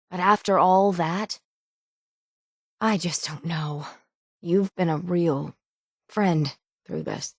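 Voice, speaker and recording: A gruff, low-voiced sailor woman from a video game. She talks in plain, folksy islander phrases with a gravelly edge, resigned to her fate yet stubborn. The voice is close and dry. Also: extremely slow, long pauses, no echo, exaggerated voice. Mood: earnest, subdued. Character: weary, stoic, gruff dying island sailor woman guarding the town's hull. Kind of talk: dramatic video game dialogue.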